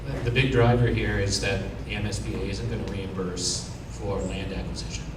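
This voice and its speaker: A man gives a measured, matter-of-fact answer at a public meeting. His voice is low and flat. He sounds distant in an echoey room with steady background noise. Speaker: building project team member.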